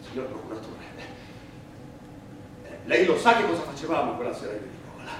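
An actor playing an earnest Italian immigrant worker and anarchist on trial, giving halting, plain-spoken theatrical testimony in an Italian accent. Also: male voice, medium pitch, animated, close to an everyday voice. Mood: agitated, afraid. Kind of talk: theatrical monologue, courtroom testimony. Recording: very echoey, far-off mic, noisy stage recording.

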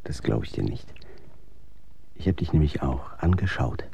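An actor playing a tired, gently persistent young man in a radio drama, earnest and coaxing. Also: very deep voice, animated, moderate pace, exaggerated voice. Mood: quiet, earnest. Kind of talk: German radio drama acting. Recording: clearly noisy background ambience.

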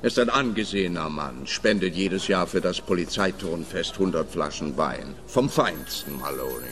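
A pompous, blustering police officer. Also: male voice, sits low, animated, moderate pace, a bit bright, a little hiss or hum. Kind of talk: radio play acting.

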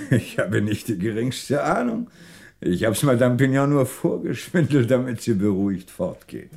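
Sly detective chief, mid-pitched, lively intonation, wry, self-assured, old radio crime play. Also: male voice, unhurried, gravelly. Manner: wry, confident, reassuring. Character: shrewd, self-assured male French police commissioner, slyly manipulative. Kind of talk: 1960s German radio crime drama acting.

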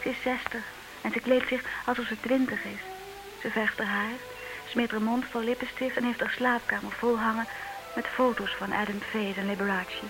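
High-voiced, wry respectable lady, played by a woman. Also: fairly even intonation, normal speed, over music, natural voice, low-quality recording. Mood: wistful, reflective. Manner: polite, dryly teasing. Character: wry, independent-minded, slightly old-fashioned respectable single woman. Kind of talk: old-fashioned comic radio play acting.

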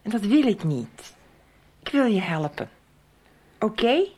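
A woman's voice: mid-range voice, big pitch swings, a little slow, darkish tone, slightly rough. An actress playing a stubborn, driven middle-aged businesswoman and wife in a radio soap. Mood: exasperated, concerned.

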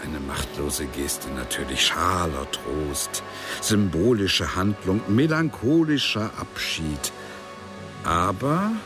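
Pompous heavenly elder, low, wildly swooping. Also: male voice. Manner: pompous, impatient, declamatory. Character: senior heavenly superior, male, weary, bitter, dismissive. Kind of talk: radio drama acting.

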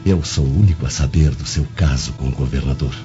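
A scheming Roman lover in a radio play, acted by a man. His voice is very deep and mellow, insinuating and theatrical. The recording is dull, with music underneath. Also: fairly quick. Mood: smug, insinuating. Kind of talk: radio drama acting.

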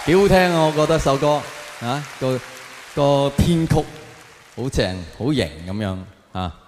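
A man's voice: on the high side, big pitch swings, unhurried, a little mellow, slight background noise. A man with a Hong Kong Cantonese accent doing colloquial stand-up, addressing the audience with rhetorical tag questions. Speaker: stand-up comedian on stage. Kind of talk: stand-up comedy monologue to a live audience. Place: on stage in a large hall. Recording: echoey large hall with long reverb.

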